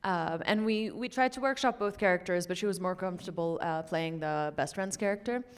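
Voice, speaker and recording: A woman from Lebanon in her thirties speaks in a low, smooth, resonant voice. She answers thoughtfully, pausing often with fillers as she gathers her words. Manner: reflective, hesitant with many fillers. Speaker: filmmaker guest. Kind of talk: conversational Q&A answers.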